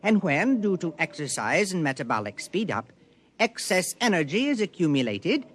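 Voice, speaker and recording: An actor in an old-time radio drama playing a calm alien shapeshifter who speaks in formal, deliberate phrases. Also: mid-range voice, a little hiss or hum, lightly acted. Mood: grave explaining. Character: calm alien shapeshifter explaining its kind to humans.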